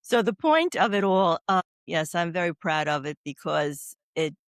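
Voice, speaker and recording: A woman's voice: lowish voice, normal speed, no echo. Older woman, Brooklyn accent, chatty storyteller, dry close sound. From New York. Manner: chatty, self-deprecating, lively storyteller. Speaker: guest, oncology doula. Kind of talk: casual interview talk.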